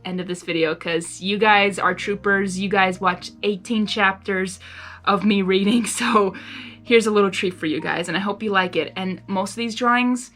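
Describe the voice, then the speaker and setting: A woman's voice: mid-range voice, steady tone, normal speed, fairly bright tone. Female fan-channel host, mid-range voice, informal and gushing, over faint music. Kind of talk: casual reaction chat between readings.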